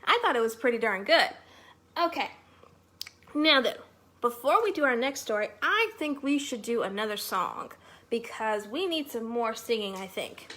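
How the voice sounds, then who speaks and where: A woman leads a children's storytime in a high, bright voice. She speaks to young children in an animated, sing-song manner, as a host who moves between chat and reading aloud. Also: normal speed.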